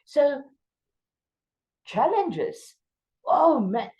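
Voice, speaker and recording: A woman from South Africa with an Afrikaans accent, speaking English as her second language while training teachers. She lectures emphatically, stressing key words with sudden jumps in pitch. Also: very animated, drawn-out words, darkish tone. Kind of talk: workshop lecture.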